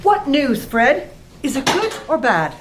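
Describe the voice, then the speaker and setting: An actress in a community radio play, playing an anxious young wife. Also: female voice, high voice, very animated, slow, noisy background, exaggerated voice.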